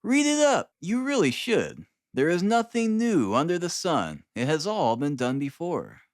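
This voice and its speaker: A man voicing a brisk, self-assured consulting detective with a confident, lecturing manner in an audiobook. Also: fairly high pitch, very animated, dry recording, clean studio sound, pitched above the performer's own voice.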